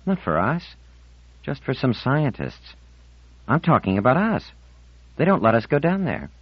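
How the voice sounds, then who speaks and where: A male narrator plays a defiant teenage girl in an audiobook story, raised well up in pitch. She is articulate and moody, insisting on her point with solemn indignation. The sound is slightly dull and very dry. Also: big pitch swings, measured pace, slightly rough, no room sound. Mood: bitter.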